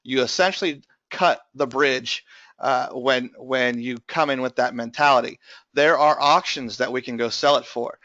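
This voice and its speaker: A man's voice: mid-range voice, moderate pace, pushed and bright, dry recording, muffled recording. Man presenting with a strained voice. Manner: explanatory, many filler uh's. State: voice strained from bronchitis. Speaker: tax lien investment presenter. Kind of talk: webinar presentation.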